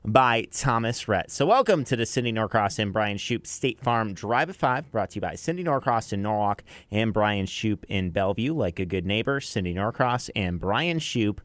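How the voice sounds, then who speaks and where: A man's voice: on the low side, lively intonation, normal speed, few pauses, a bit bright, no room sound. An American man presenting an afternoon music radio show as its DJ, linking songs and reading sponsor messages. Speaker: radio DJ, afternoon drive host. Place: radio studio. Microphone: close broadcast microphone.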